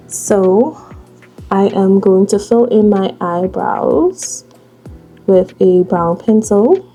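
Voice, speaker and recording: A woman's voice: mid-range voice, husky, dull audio. A Bahamian woman in her late twenties chatting casually as she does her makeup for a vlog. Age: 20s. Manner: slow, relaxed, chatty while doing makeup. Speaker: vlogger. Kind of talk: casual get-ready-with-me chat.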